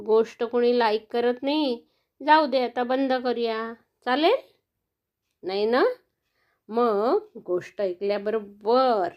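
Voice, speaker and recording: A woman's voice: fairly high pitch, highs cut off. A woman telling a bedtime story to children in a sing-song, coaxing manner, often asking them questions.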